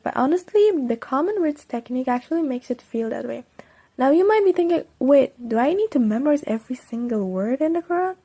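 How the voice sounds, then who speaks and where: A young woman, a native Persian speaker, explains study tips in a chatty, upbeat way that jumps up in pitch when she gets excited. Her voice sits a little high but has a mellow, dark tone with a gravelly rasp at the ends of phrases. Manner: upbeat and chatty, with sudden bright lifts in pitch. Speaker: vlogger teaching Quran study tips. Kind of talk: casual instructional vlog.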